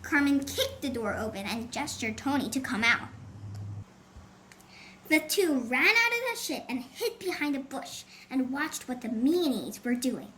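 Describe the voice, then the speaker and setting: A woman's voice: high-pitched, fairly bright tone. A woman reading a scripted children's adventure story as an audiobook narrator. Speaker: audiobook author and reader. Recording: faint background noise.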